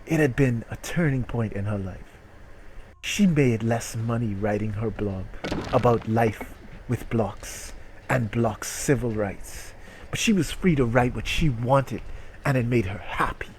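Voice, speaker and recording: A man's voice: medium pitch, unhurried, a little mellow, a little hiss or hum, rough recording. A man hosting a comic dramatic reading of a short story, with mock-serious narration that can break into incredulous outbursts. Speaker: host reading stories.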